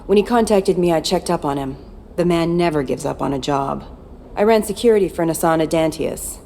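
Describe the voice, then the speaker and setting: A woman's voice: sits low, fairly quick, creaky, mellow, slight background noise. A woman playing a tough, cynical former security chief in video game dialogue, blunt and guarded. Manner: blunt, matter-of-fact.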